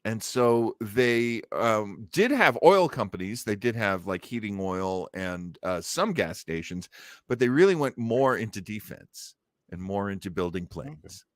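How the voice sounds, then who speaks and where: A man's voice: fairly low pitch, lively intonation, unhurried, no echo, clean studio sound. A man hosting a show in casual, chatty commentary. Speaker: host of an old-time radio show podcast.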